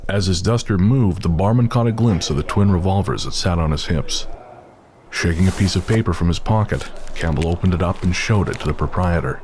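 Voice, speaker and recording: A man's voice: sits low, darkish tone. A man narrating a scripted dramatic story, with music behind him.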